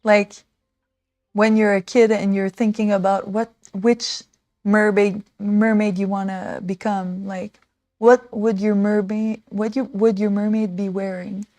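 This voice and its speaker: Woman, 30s, livestream makeover host; slow, rambling, excitable; dry close mic. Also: mid-range voice, no echo. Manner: rambling, excitable, many fillers like "like" and "um". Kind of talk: casual chatty livestream talk. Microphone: small desk mic.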